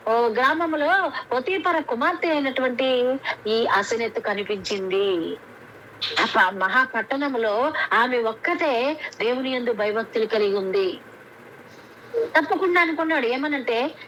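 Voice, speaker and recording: A woman's voice: high-pitched, a bit bright, poor audio. A middle-aged woman giving an earnest Bible message over a conference call, asking questions and answering them herself. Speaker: Bible teacher. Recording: faint background noise on a conference call.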